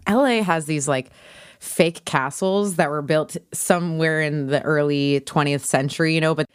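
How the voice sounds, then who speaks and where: Woman podcast guest, pretty low voice, dry close sound, jokey and self deprecating. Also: a little slow, smooth, no echo. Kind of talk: casual chat.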